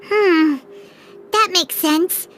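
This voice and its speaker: A woman's voice: extremely high pitch, extremely slow, over music, full character voice. A woman voicing a small, chirpy, earnest childlike fairy companion in a video game. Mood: curious, pondering.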